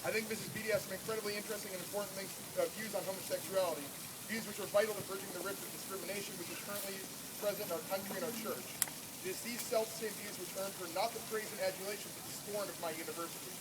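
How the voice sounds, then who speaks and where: A young man in his twenties reads a protest letter aloud with earnest formality and a high, quick voice. He stands at an outdoor campus rally, and heavy ambient noise surrounds him. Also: fairly even intonation. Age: 20s. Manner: formal, earnest, fast reading. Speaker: student speaker. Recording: loud background noise outdoors.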